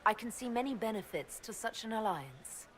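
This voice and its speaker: An actress playing a composed, diplomatic heroine and leader in a video game, measured, polite and assured. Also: female voice, on the high side, animated, a bit bright, a little hiss or hum, low-quality recording.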